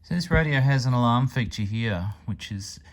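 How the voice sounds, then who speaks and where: A man's voice: medium pitch, expressive, moderate pace, background noise. A man giving a casual, plain-spoken hands-on product demonstration as a hobbyist reviewer. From Australia.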